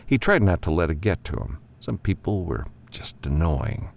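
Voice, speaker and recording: A man's voice: low voice, sing-song, moderate pace, gravelly. A man reading scripted fiction as an audiobook narrator.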